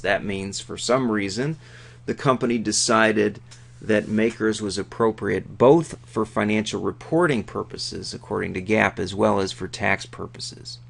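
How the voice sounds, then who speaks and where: Guy teaching step by step, lowish really creaky voice, chatty, kinda thinking out loud as he goes. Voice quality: gravelly, creaky. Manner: conversational, explanatory, thinking aloud. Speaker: accounting instructor. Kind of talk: informal tutorial, walking through a worked problem.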